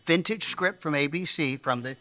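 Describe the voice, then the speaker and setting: Bright, forceful man presenting in a hall. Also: fairly high pitch, normal speed. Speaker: presenter and member of a radio re-creation troupe. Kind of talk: introducing a live show to an audience. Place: live stage at a banquet hall. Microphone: stage microphone. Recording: reverberant hall sound.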